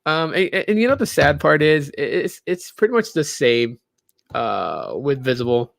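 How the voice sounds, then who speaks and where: A man in his late thirties with a gravelly, fried edge, chatting loosely and tiredly to his live stream viewers from a small room in his new home. The recording is dry, with almost no room sound. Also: a little high, big pitch swings, normal speed, fairly bright tone, no room sound. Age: 30s. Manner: rambling, loose, lots of fillers. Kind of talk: casual live stream chat.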